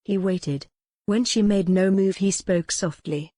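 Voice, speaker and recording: A woman's voice: mid-range voice, a little slow, warm tone, dry recording, studio quality. A woman reading scripted, dramatic historical romance narration as an audiobook narrator.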